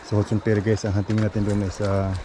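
A man's voice: fairly low pitch, completely flat delivery, brisk. A man with a Filipino accent chatting casually as he vlogs a fishing outing outdoors by the sea, recorded on a body-worn action camera. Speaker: fishing vlogger. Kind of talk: casual vlog chat while fishing. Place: outdoors on a seaside concrete pier. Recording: loud wind and outdoor noise. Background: wind, water, outdoor noise.

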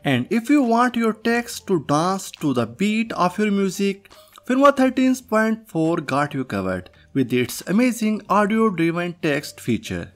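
A man with a high-pitched, animated voice hosts a scripted software tutorial. He explains things step by step over background music. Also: a little slow. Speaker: tutorial host. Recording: music behind the voice.

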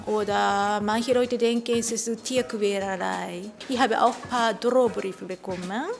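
Creaky Japanese-accented middle-aged woman. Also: mid-range voice, fairly even intonation, unhurried. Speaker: café owner. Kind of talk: on-camera interview. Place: indoor café interview. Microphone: handheld interview microphone.

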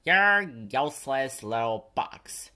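A man's voice: mid-range voice, big pitch swings, takes it slowly, pushed and bright, exaggerated voice. A man voicing a bossy, grumpy crane in a children's storybook, scornful and emphatic. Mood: scornful, grumbling. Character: bossy, grumpy, complaining male dockside crane.

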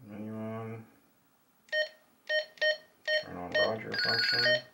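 A man with a fairly low, creaky voice gives a casual step-by-step demonstration in short, halting phrases. He takes his time between words. The mic sits at a distance. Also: steady tone. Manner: halting, muttered step-by-step remarks while working with hands. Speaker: hobbyist demonstrator. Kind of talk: casual hands-on tutorial. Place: indoors at a tabletop workbench. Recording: distant mic, clear noise, clicks.

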